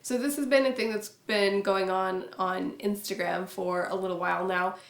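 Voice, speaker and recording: A young woman vlogging to camera in a relaxed, reserved way, with a naturally low voice she pushes louder and many casual fillers. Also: normal speed. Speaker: YouTuber. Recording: echoey room.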